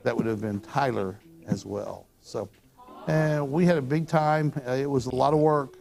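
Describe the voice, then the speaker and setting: An older man hosting an astronomy show in a studio, hoarse after a weekend of talking, with a folksy, rambling way of telling stories. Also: medium pitch, moderate pace, darkish tone. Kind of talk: casual studio chat. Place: studio with telescopes on display.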